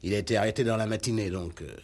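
An actor playing a formal, cautious doctor in a 1960s French radio play, speaking in a deliberate way. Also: male voice, fairly low pitch, a little mellow, lightly acted. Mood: pensive surprise. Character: measured, cautious doctor advising a judge. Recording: old radio drama recording.

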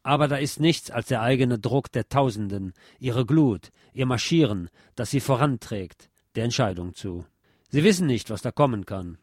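A man reading a literary text aloud with expressive, emphatic delivery. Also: mid-range voice, moderate pace, no room sound, studio quality.

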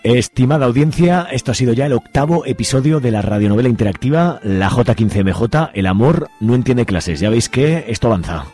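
A man's voice: medium pitch, lively intonation, fairly quick, dark tone, soft background music. A man from hosting a radio soap in an informal presenting style. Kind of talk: informal show outro.

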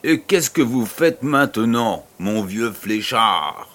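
A man's voice: lowish voice, very animated, slow, a bit bright, exaggerated voice. A man playing a genial, curious aristocratic baron in an audio drama, urbane and a little condescending. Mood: amused curiosity.

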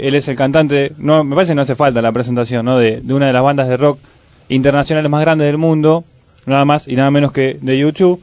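A man hosting a live radio show in a casual, halting, improvised way. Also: mid-range voice, darkish tone, no echo. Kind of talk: live on-air hosting, casual with hesitant fillers.

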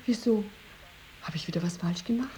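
An actress playing a naive, chatty, tactless young wife in a German radio drama, effusive and artless. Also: female voice, medium pitch, brisk, warm tone, slight background noise, close to an everyday voice. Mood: artless, eager.

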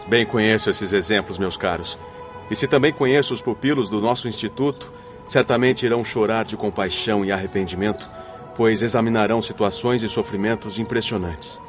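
A man's voice: fairly low pitch, fairly quick, over music, close to an everyday voice. A Brazilian man playing a courteous, dignified spirit mentor in a radio drama, speaking formally. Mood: knowing, grave.

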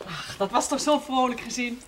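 An actress playing a kind, teasing nun who gently admonishes her patient, in naturalistic 1970s television drama style. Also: fairly high pitch, moderate pace, close to an everyday voice. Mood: chatty, reminiscing. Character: kind, teasing Dutch nun nursing a priest. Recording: noisy old TV soundtrack with clicks.

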